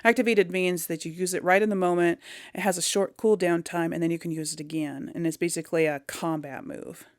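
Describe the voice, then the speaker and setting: A woman's voice: fairly low pitch, steady tone, brisk, no echo, clean studio sound. A woman giving casual video game commentary, thinking aloud in a hesitant, musing way. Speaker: video game let's player.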